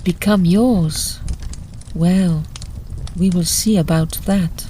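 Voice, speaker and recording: A proud, secretive marchesa in an audio drama, her voice on the low side for a woman. She speaks formally and with guarded, measured phrasing, over faint background ambience. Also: fairly even intonation, mellow, a little hiss or hum. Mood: coolly firm. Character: secretive, imperious Italian marchesa, proud bride with hidden secrets.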